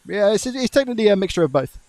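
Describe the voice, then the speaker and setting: Man, repair streamer, calm and relaxing voice, chatty with viewers, quick talker, dry close mic. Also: high-pitched, no room sound. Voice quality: soft-spoken, relaxing voice. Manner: calm, chatty, talks to the chat while working. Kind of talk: live-stream chat while working.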